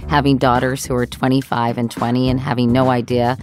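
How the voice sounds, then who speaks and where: A woman's voice: a bass voice, little pitch movement, a little fast, a little mellow, soft background music. A woman in her fifties hosting a podcast, chatty and self-deprecating. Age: 50s. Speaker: podcast host. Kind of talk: casual chat.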